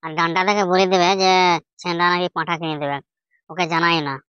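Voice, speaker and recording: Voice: medium pitch, steady tone, quick pace, pushed and bright, smooth, dry recording, dull audio, natural voice. A performer voicing a crude, scheming village club drinker with coarse colloquial talk in a comedy cartoon. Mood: cocky.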